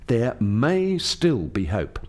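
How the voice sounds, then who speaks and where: A man plays an authoritative alien scientist heading a lab in a radio drama. His formal, lecturing delivery is measured in its wording, with lively swings of pitch that stress each point. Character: Doctor Foster, authoritative male alien scientist leading a lab. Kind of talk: radio play acting.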